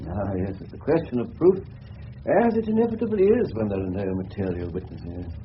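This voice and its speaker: An actor playing an eccentric, shrewd older English general who questions people in a genial, digressive, slightly pompous way in a radio drama. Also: male voice, on the low side, lively intonation, brisk, natural voice. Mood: measured, knowing. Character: eccentric, shrewd older gentleman general turned amateur detective. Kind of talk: 1970s radio drama acting. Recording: dull, noisy old radio broadcast recording.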